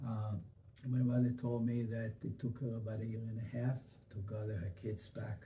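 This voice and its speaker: An older man with a low, gravelly voice and a dark, mellow tone tells his family story slowly, stopping often to restart. He grew up in Israel. The recording has a roomy echo and a faint hiss. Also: little pitch movement. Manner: halting, with many fillers and restarts. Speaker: interviewee. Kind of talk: oral history interview. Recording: echoey room, faint noise.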